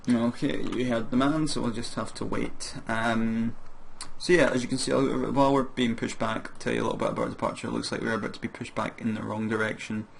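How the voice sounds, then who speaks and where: A man, a bit hoarse from a sore throat, chatting casually as he walks through each step of a flight simulator session. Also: mid-range voice, steady tone, brisk, a little hiss or hum. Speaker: flight sim streamer. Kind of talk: casual gameplay commentary.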